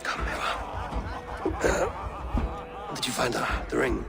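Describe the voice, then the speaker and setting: An actor playing a proud, commanding Viking chieftain who calls out loudly and coaxes his child. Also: medium pitch, animated, takes it slowly, vocal fry. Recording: loud music and crowd behind.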